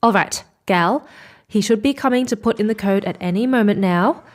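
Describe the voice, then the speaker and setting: Actress as scheming, secretive female space traveler, medium-pitched, sci-fi audio drama. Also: normal speed, lightly acted. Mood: conspiratorial.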